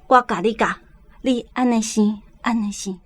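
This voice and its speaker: A woman playing a bossy, nosy older sister in a radio drama, speaking in a loud, emphatic way. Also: fairly high pitch, steady tone, normal speed, breathy edge. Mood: conspiratorial. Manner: loud, emphatic questioning and instructing. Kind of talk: Taiwanese radio drama acting.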